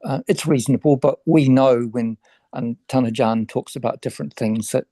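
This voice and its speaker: A man in a casual discussion, explaining in a measured, hedging way. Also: mid-range voice, mellow, no echo. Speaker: discussion participant.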